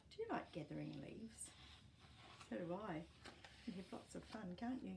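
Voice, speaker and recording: Expressive, unhurried woman reading to children. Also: medium pitch, vocal fry, background noise. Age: middle-aged. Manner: expressive, asks the young listener questions, gentle gasps. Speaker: storytime host. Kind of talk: reading a picture book aloud to children, with chatty asides. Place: small indoor room with books and toys.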